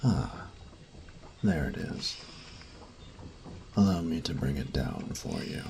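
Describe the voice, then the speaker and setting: A man playing a grumpy, fastidious steampunk artificer-mage in an audio roleplay, speaking formally and precisely with pedantic, halting phrasing. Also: a bass voice, wildly swooping pitch, creaky, mellow, slight background noise, full character voice. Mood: stiff, matter-of-fact. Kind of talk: scripted roleplay audio drama, addressing the listener.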